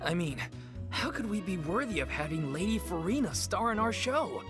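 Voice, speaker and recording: A man's voice: high-pitched, big pitch swings, moderate pace. A man voicing an earnest, deferential young theater troupe member in dramatic video game dialogue. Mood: awed. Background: music.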